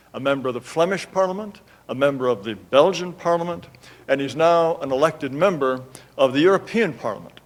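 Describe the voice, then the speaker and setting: A man formally introducing the next speaker at an event, measured and earnest. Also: mid-range voice.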